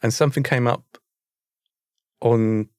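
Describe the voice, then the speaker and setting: A man's voice: mid-range voice, steady tone, slow, smooth. A British man talks casually as a podcast co-host. He questions and teases as he goes, in an everyday conversational way. The sound is dry, with no room echo. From Britain. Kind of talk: casual chat.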